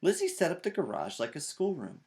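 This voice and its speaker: A man's voice: fairly high pitch, very animated, brisk, darkish tone. A man, reading aloud to children. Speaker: storytime reader. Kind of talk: animated children's read-aloud. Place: small child's bedroom indoors.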